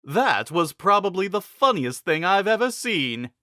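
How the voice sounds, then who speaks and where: A brave warrior king acted by a male narrator speaks in a raised, forceful voice. He is earnest and emphatic, firm but merciful, in a fantasy audiobook. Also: high-pitched, sing-song, measured pace, dry recording, studio quality, voice pushed up, exaggerated voice. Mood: confident, warning. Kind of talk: dramatic fantasy audiobook reading.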